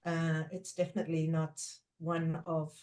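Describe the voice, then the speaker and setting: Woman, lowish voice, Portuguese first language, middle-aged, measured and reflective, podcast guest. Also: level tone, a little mellow. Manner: thoughtful, measured, pauses with fillers. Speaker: guest, trainer and former teacher.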